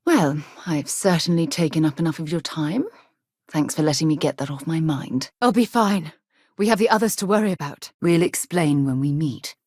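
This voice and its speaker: An actress playing a principled, dryly witty space explorer companion in a video game, speaking in an articulate, earnest, measured way. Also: female voice, deep voice, a little mellow, no echo, clean studio sound. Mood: earnest, gentle.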